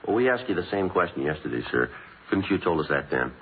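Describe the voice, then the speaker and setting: An actor playing a dry, businesslike detective sergeant in a vintage radio police drama, clipped and deadpan. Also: male voice, low voice, fast talker, husky, heavily acted. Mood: probing. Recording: muffled old-time radio recording with music.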